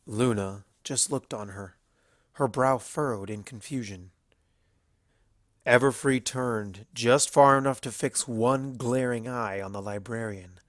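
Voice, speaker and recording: Male narrator for scripted fan fiction, mid-range pitch, very little room sound. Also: unhurried, a bit bright, no echo, clean studio sound.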